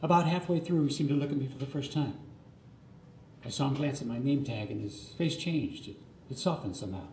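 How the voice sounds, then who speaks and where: A man's voice: mid-range voice, a little fast, darkish tone. A middle-aged man performing a scripted autobiographical monologue live, theatrical and earnest, delivered phrase by phrase. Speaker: storyteller. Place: live radio theatre stage. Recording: roomy live theatre, clear background noise.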